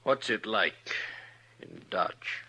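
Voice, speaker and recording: A man's voice: on the low side, animated, very slow, creaky, bright, forceful tone, exaggerated voice. An American man playing a weary, folksy Old West drifter in an old-time radio western, with rustic, laconic speech. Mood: wistful, curious. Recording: noisy vintage radio recording.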